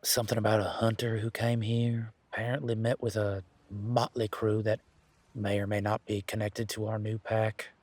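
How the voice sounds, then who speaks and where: A man playing a laid-back, wry werewolf in an intimate one-sided audio roleplay, talking in a casual, folksy, teasing way with a husky voice. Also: lowish voice, flat delivery, moderate pace, darkish tone, no room sound, close to an everyday voice. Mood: gossipy, wry.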